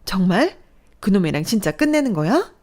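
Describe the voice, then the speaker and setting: A woman voicing a domineering, furious middle-aged mother-in-law who shouts and scolds harshly. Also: medium pitch, big pitch swings, normal speed, pitched above the performer's own voice. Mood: eager.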